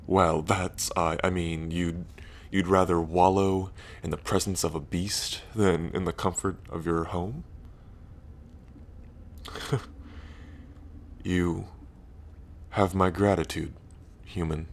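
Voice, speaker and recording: A man playing a lonely ancient dragon king in roleplay ASMR, proud yet bashful, with halting, deliberate phrasing. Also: low voice, steady tone, a little hiss or hum. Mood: conceding, touched. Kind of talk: roleplay ASMR audio drama.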